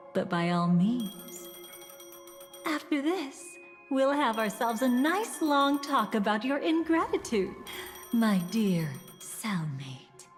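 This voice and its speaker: A woman playing a poised, enigmatic game character with a measured, theatrical, teasing delivery and quiet menace. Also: on the high side, in a large hall. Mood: coolly mocking. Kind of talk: dramatic video game narration and dialogue.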